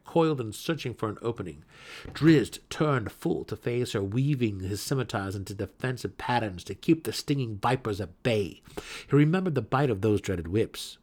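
A man reading scripted fantasy narration in a dramatic, intense and theatrical way. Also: fairly low pitch. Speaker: audiobook narrator.

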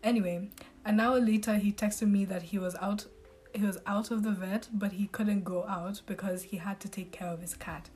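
A woman's voice: medium pitch, fairly even intonation, moderate pace, a little mellow. A young woman reading a dating story aloud on a podcast in a casual storytelling way, adding sympathetic asides.

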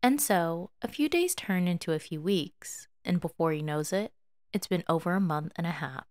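A woman's voice: fairly low pitch, expressive, normal speed, darkish tone. A woman reading scripted fiction as a narrator, telling the story expressively.

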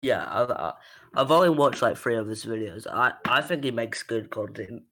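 A man with an accent others mistake for British, co-hosting a casual podcast in an excitable, rambling way. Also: mid-range voice, normal speed. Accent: non-American accent others take for British. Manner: chatty, excitable, lots of "like" and "bro". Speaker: podcast co-host.

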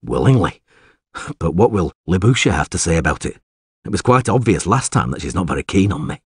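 A man voicing a terse, weary monster hunter with dry wit in an audiobook, speaking in a laconic, deadpan way. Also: sits low, brisk, breathy edge, no room sound, exaggerated voice. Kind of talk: audiobook character acting.

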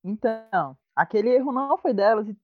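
A woman chatting as a guest on a fan podcast, soft-spoken and gushing as she rambles. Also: a little high, animated, fairly quick, dry recording. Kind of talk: casual fan chat. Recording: dry muffled lo-fi audio.

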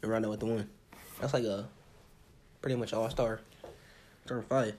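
A young American man with a husky voice, co-hosting a casual sports chat in an informal, halting way and often repeating himself. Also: fairly low pitch, steady tone, darkish tone, a little hiss or hum. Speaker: podcast co-host.

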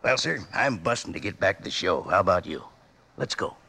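A man playing a jolly old cowboy pitchman in a 1950s radio advertisement, raspy, with an American Western drawl. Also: sing-song, a little fast, heavy background noise, heavily acted. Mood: jolly, selling. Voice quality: raspy, gravelly.

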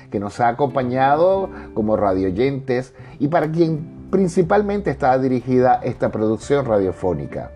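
A man's voice: medium pitch, mellow. A man speaking as a radio drama director, giving an earnest, formal, declamatory speech of thanks.

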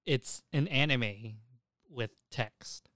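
A man's voice: medium pitch, drawn-out words, pushed and bright, no echo, studio quality. A man hosting a casual podcast, talking enthusiastically and swearing freely as he goes. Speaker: podcast host.

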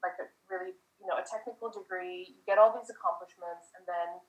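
A woman's voice: fairly low pitch, fairly even intonation, brisk. A woman in her forties from the United States, a guest chatting in a rambling way with lots of fillers. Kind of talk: casual interview answers. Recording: echoey, dull lo-fi sound.

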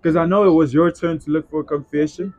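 A man's voice: a little high, fairly even intonation, fast talker, darkish tone. A young man in his twenties with a South African accent, co-hosting a podcast and teasing his friend in slangy banter. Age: 20s. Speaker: podcast co-host. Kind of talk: casual chat.

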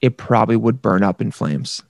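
A man's voice: lowish voice, lively intonation, normal speed, vocal fry, no echo, clean studio sound. A man hosting a podcast in casual, jokey chat, slipping into little acted voices. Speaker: podcast host.

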